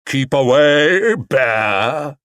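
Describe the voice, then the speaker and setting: A man playing a pompous, booming grand stage actor who speaks dramatically and gruffly in a storybook reading. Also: lively intonation, extremely slow, fairly bright tone, dry recording, clean studio sound. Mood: theatrical.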